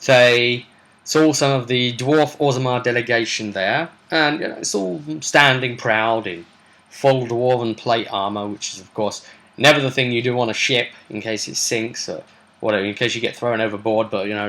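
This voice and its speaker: Bright-voiced British man telling game stories. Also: mid-range voice, normal speed. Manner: animated, chatty, acts out brief quotes. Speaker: host and game master recapping sessions. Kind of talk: casual rambling storytelling recap of a tabletop role-play.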